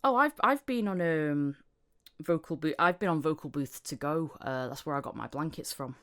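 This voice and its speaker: Low-pitched woman in casual podcast chat. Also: animated, normal speed, a bit bright. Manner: anecdotal, acting out reported conversations. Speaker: podcast host and voice actor.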